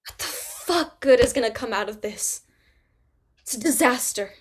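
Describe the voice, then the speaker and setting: An actress reading the part of a grieving young pregnant woman, speaking haltingly and dropping to near whispers. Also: a little high, expressive, slightly rough. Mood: hopeless. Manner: halting, trailing into quiet, near-whispered confessions. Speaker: actor in a staged play reading. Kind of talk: staged play reading.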